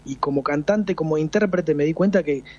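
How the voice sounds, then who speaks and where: A man's voice: a little high, fairly quick, mellow, muffled recording. A man in his forties with an Argentine Spanish accent, a folk singer being interviewed, talking reflectively with many restarts. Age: 40s. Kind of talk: radio interview.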